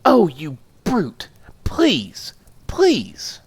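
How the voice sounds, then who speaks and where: A man's voice: sits high, wildly swooping pitch, extremely slow, husky, voice pushed up, full character voice. A man voicing a small, furious married woman who screams and scolds her husband. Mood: wailing. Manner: shrieking, scolding.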